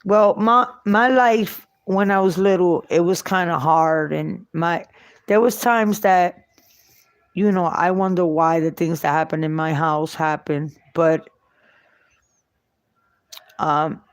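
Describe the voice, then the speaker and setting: A middle-aged woman with a New York Puerto Rican accent, telling her life story off the cuff in short, halting phrases with long pauses. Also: fairly low pitch, steady tone, no room sound. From Puerto Rico and New York. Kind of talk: unscripted personal storytelling.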